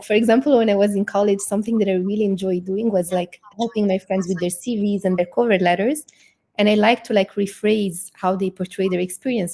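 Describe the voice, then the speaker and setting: A woman's voice: medium pitch, mellow. A woman in her twenties who grew up in Italy, talking fluently and upbeat as a guest in a casual interview, often laughing between thoughts. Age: 20s. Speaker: guest, sales manager and personal branding founder.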